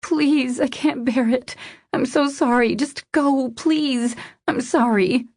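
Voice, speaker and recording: Female narrator as ashamed young woman, tearful, raised pitch, cracking breathy voice, pleading, close dry sound. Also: takes it slowly, a little mellow, no room sound. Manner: pleading, apologetic.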